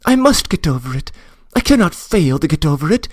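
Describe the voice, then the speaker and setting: A man's voice: fairly high pitch, very animated, brisk, no room sound. A man voicing a passionate young woman who pleads earnestly, close to tears, in an audiobook reading. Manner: trembling, earnest, breathless confession. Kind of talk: audiobook reading of a classic novel.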